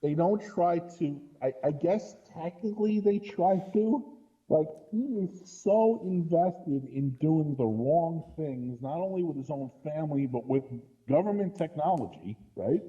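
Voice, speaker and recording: A man's voice: a little high, expressive, a little slow, mellow, dull audio. A man giving casual, critical film commentary on a podcast in an exasperated way, often checking his points with a questioning tag. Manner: exasperated, punctuates points with "right?". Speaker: podcast co-host or guest critic.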